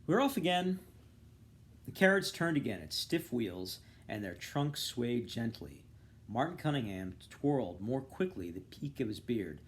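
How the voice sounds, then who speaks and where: A man giving an expressive, animated reading of a novel, acting out the dialogue. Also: mid-range voice, unhurried. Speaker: volunteer reader. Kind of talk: expressive literary audiobook-style reading.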